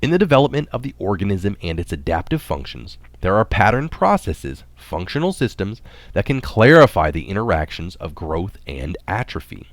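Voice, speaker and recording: A man with a low voice reads a health article aloud, recorded close and dry. His delivery is measured and explanatory, with plenty of movement in the pitch. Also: big pitch swings, no echo. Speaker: article reader adding his own notes.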